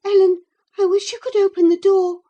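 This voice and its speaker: A spirited teenage girl of good family as a woman narrator puts her on in an audiobook. Her voice leaps high, impulsive and emphatic, exclaiming her words. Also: moderate pace, dark tone, dull audio, natural voice. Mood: anxious. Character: spirited, impulsive teenage young lady, playful and defiant. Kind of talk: audiobook character voice.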